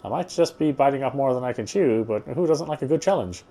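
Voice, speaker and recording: A man's voice: medium pitch, expressive, quick pace, warm tone. A man narrating a visual novel as he plays it, reading the prose aloud with casual asides.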